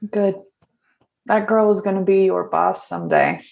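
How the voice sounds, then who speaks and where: A woman's voice: medium pitch, wildly swooping pitch, normal speed, vocal fry, a little mellow. A woman playing a self-assured, blunt and teasing old friend in an audio drama, with a wry, direct delivery. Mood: serious, urging.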